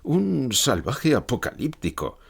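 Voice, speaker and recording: Male actor as gloomy philosopher, anguished and declamatory; husky, very expressive pitch. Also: slow pace, heavily acted. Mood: anguished, grandiose. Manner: dramatic, declamatory, rhetorical repeated questions. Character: gloomy, lucid pessimist philosopher, brooding and anguished man. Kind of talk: acted fictional interview, audiobook.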